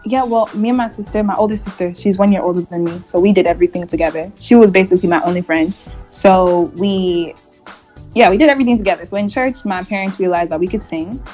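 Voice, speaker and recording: A woman's voice: mid-range voice, quick pace, a little mellow, faint music behind. A woman in her twenties from Montreal with a Canadian accent, chatting candidly and casually as an interview guest. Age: 20s. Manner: chatty, candid, laughs easily. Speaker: interview guest, gospel singer.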